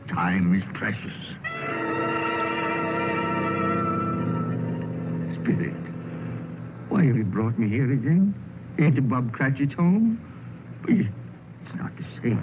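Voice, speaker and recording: An actor playing a terrified, repentant old miser in an old radio play, with a quavering, pleading delivery. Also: male voice, mid-range voice. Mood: awed. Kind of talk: 1930s radio drama acting. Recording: tinny old radio broadcast with music.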